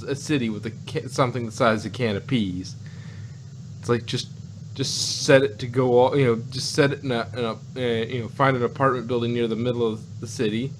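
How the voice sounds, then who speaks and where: A man's voice: medium pitch, background noise. A man co-hosting a casual, rambling review chat with a wry, sarcastic manner. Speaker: podcast co-host reviewing audio dramas.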